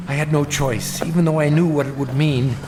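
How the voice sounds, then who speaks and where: A nervous, anxious man played by an actor with a lightly high voice, in the style of a suspense radio drama. He sounds hesitant and fearful, like someone fragile. Music plays behind him. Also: fairly even intonation, a little fast, close to an everyday voice. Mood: resigned narration. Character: nervous, frightened man with a weak heart. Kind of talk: dramatic audio drama acting.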